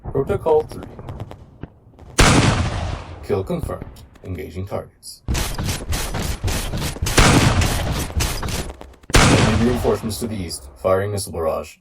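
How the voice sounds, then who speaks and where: A man voicing a loyal giant combat robot AI in an audio roleplay, formal, measured and protective of a human partner, with a gravelly voice. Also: sits low, distant mic. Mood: urgent, clipped. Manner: formal, measured, literal-minded. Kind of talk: scripted audio roleplay addressed to the listener.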